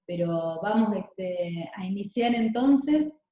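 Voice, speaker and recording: A woman moderating a video meeting, relaxed and reassuring, with hesitant restarts. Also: mid-range voice, takes it slowly. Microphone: video call. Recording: very echoey room on a call.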